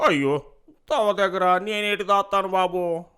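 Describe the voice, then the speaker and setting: A man's voice: high-pitched, steady tone, unhurried, sharp tone, smooth. A man playing a naive, timid young farmhand in a moral story, speaking deferentially in rustic dialect. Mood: innocent.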